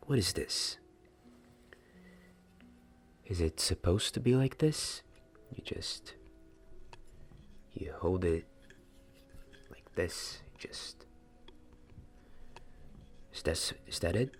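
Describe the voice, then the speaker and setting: Man playing a deep, hushed, slow-talking wand maker. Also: lively intonation, soft background music, exaggerated voice. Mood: curious, approving. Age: young adult. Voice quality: soft, breathy, partly whispered. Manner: slow, hesitant, deliberate. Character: gentle, patient male wand maker mentoring an apprentice. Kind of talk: ASMR roleplay. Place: small dim indoor room. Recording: clear background noise and ambience.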